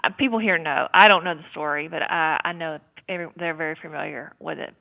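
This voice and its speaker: A woman's voice: deep voice, steady tone, fast, gravelly. A woman chatting casually on the phone as a spokeswoman. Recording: narrow tinny phone line.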